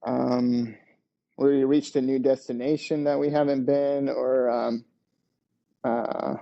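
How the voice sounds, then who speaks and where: A man's voice: mid-range voice, flat delivery, takes it slowly, creaky, mellow. A young man from Lithuania, a podcast guest telling his story in a hesitant way with many fillers, on a headset over a video call. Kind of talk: casual interview storytelling. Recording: dull lo-fi video call audio.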